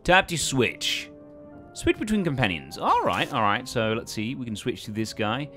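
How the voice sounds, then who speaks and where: A man's voice: medium pitch, huge pitch swings, moderate pace, a bit bright, soft background music. A man casually thinking aloud as he commentates a video game. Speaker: let's play host.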